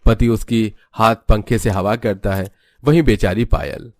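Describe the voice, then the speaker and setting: A man's voice: on the low side, steady tone, unhurried, a little mellow, dry recording. A man narrating a scripted cartoon family story.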